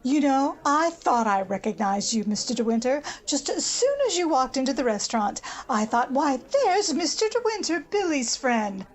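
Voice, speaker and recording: A woman's voice: high voice, animated, normal speed, hardly any pauses. A woman playing a conceited, bossy older American society matron in an old-time radio drama, haughty and gushing. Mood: effusive.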